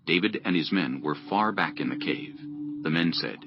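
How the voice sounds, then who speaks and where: A man's voice: a bass voice, expressive, moderate pace, music in the background. A man narrating scripture as a scripted dramatized audiobook. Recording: dull lo-fi audio.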